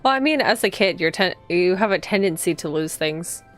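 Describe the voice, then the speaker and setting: A woman's voice: on the low side, expressive, fairly quick. A woman streaming a visual novel, reading it aloud and chatting with sympathetic, gushing asides over game music. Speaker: streamer, virtual YouTuber. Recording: music behind the voice.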